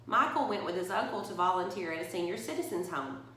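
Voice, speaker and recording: A woman with a slightly low voice reads a bedtime story. She is expressive and talks directly to her young listeners. The recording is roomy, with clear echo. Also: quick pace, slight background noise. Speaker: story reader for a church. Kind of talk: children's bedtime story read aloud.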